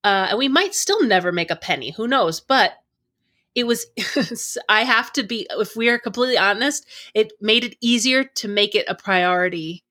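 A woman's voice: mid-range voice, normal speed, bright, forceful tone. A woman co-hosting a podcast in casual chat, candid and jokily self-deprecating. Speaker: podcast co-host.

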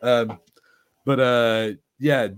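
A man's voice: mid-range voice, steady tone, extremely slow, clear, resonant tone. A man from the UK co-hosting a podcast, chatting casually and giving his opinions on fantasy film and TV. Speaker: podcast co-host.

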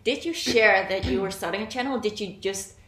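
Fast-talking woman host, affirming and quick, echoey room. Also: mid-range voice, a bit bright. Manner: quick, affirming with frequent back-channel. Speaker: host, interviewer. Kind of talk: casual interview chat.

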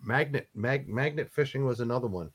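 Male co-host, casual and animated, quick to laugh, rambling storyteller. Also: mid-range voice, slightly rough. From Philadelphia area. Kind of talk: casual chat.

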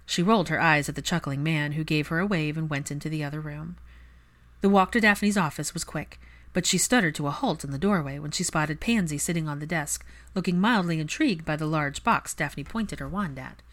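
A woman's voice: low voice, a little fast, fairly bright tone. A woman reading a story aloud in a scripted audiobook style, as narrator of fan fiction.

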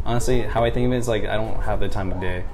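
A man's voice: lowish voice, steady tone, rapid-fire. Rambling young man, full of fillers. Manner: rambling, fillers, stumbles, talks with his hands. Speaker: podcast co-host. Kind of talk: casual chat. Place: living room. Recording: raw home recording with background noise.

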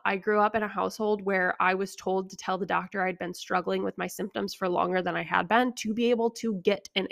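Young American woman with a creaky voice. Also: medium pitch, fairly bright tone, no room sound, studio quality. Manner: conversational, explanatory, opinionated. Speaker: health podcast host. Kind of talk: casual informative solo podcast talk, answering listener questions.

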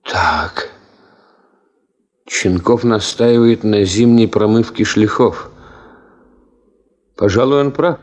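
A thoughtful field party leader in a radio drama. His voice is low and very unhurried. He weighs each phrase calmly and deliberately. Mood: pondering, calm. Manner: deliberate, calm, pondering. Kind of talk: radio play acting.

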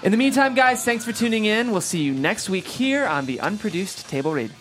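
A man with a slightly high voice hosts a talk show in a casual podcast style. He speaks quickly as he puts questions to guests and addresses listeners. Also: sing-song, a bit bright, soft background music. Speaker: host of a script table-read show. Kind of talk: casual podcast hosting and interviewing.

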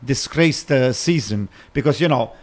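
A man, a co-host arguing in a casual chat, insistent and repeating himself with many halting fillers. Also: medium pitch, animated. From Italy. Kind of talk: heated casual debate.

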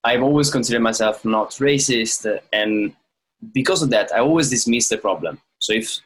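A man's voice: medium pitch, lively intonation, moderate pace, fairly bright tone, slightly rough. A man in casual conversation, speaking thoughtfully and acting out the remarks he quotes. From lives in London. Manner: reflective, acts out quoted remarks.

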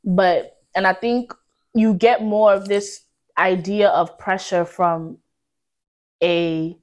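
A woman's voice: mid-range voice, steady tone, takes it slowly, darkish tone. A young woman in a casual podcast discussion, talking in slang and arguing her point assertively. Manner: slangy, assertive, devil's advocate.

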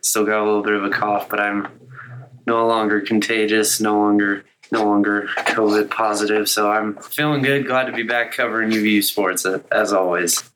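Slightly raspy young man, flat voice. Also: lowish voice, moderate pace, slight background noise, poor audio. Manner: conversational, many "uh" fillers. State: slightly raspy after illness. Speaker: co-host of a college sports podcast. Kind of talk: casual sports chat.

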